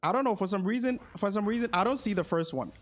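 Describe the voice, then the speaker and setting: A man with a slightly high voice hosts a game stream. He explains gameplay casually, addresses his viewers and often repeats the start of a phrase while working things out. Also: fast talker, soft background music. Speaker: streamer and game guide host.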